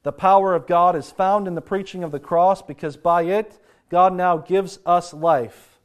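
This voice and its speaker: A man preaching a sermon, sounding congested and nasal from a sinus infection, slowing to stress key phrases. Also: sits high, steady tone.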